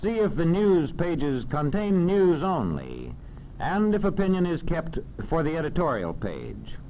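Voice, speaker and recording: An American man narrating a 1940s educational film in a formal, measured, didactic manner. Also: a little high, sing-song. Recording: dull, faintly noisy old film soundtrack.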